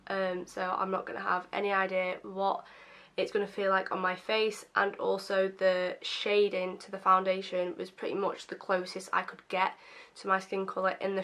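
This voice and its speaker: A young woman with a slightly croaky voice, casually chatting through a makeup first-impressions video with lots of ums and self-deprecating asides. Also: medium pitch, pushed and bright. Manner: chatty and self-deprecating, many fillers like um and obviously. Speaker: beauty vlogger.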